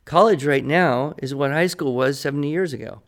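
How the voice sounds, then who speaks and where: A man's voice: a little high, moderate pace. An American man answering listener questions on a podcast as the expert, conversational, articulate and opinionated as he explains. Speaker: podcast guest expert, writing teacher.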